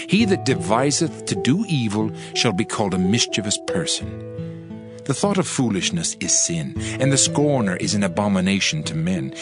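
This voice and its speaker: A man narrating a dramatized audio Bible in a solemn, declamatory manner. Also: fairly low pitch. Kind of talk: dramatized scripture reading. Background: music.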